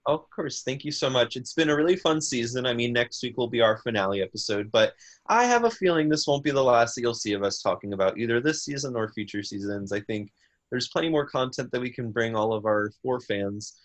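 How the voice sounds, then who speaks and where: A man's voice: mid-range voice, brisk. Nasal, easygoing man. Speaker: podcast co-host. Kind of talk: casual chat.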